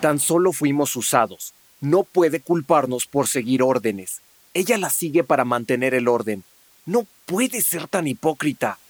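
An actor playing a serious, sceptical ex-soldier, firm and deliberate, in a Spanish-language radio drama. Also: male voice, medium pitch, animated, no echo. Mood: defiant.

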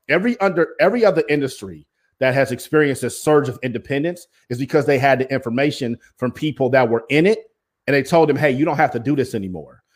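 A man with a deep voice, a former basketball coach, speaking bluntly and emphatically as an interview guest. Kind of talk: interview conversation.

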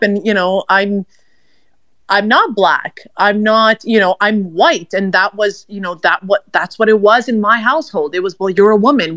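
A woman guest who speaks as a life coach and former TV reporter, telling her story in a lively, chatty way. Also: mid-range voice, dry recording. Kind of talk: casual live audio chat.

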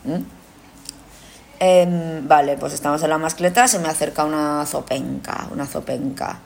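A woman's voice: sits low, measured pace, creaky, darkish tone, a little hiss or hum. A woman with an accent from Spain chatting casually as a podcast host, telling stories bluntly with swearing and acted-out dialogue. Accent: Spain Spanish. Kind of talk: casual chat and anecdote.

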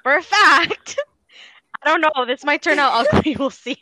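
A woman's voice: sits high, normal speed, sharp tone, no room sound, low-quality recording. A woman co-host from Canada in casual chat, laid-back and self-teasing, laughing often.

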